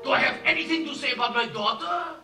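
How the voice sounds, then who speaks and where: An actor playing a domineering but soft-hearted old village father, exclamatory and sarcastic, in a musical film. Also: extremely high pitch, fast. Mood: outraged. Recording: echoey film soundtrack, distant, music behind.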